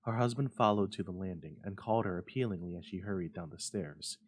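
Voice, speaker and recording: A man with a lowish voice narrates a Gothic tale. He reads in a dramatic, scripted storytelling style, theatrical and full of suspense. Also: brisk. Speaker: library staff storyteller.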